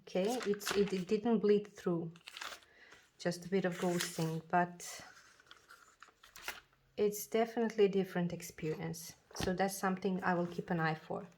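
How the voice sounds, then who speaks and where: A woman chatting casually about her journals and planners, talking slowly with many fillers and restarts, her voice a bit froggy from a cold. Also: on the low side, steady tone, a little mellow, slight background noise. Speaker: journaling video creator. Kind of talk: casual chat showing a planner.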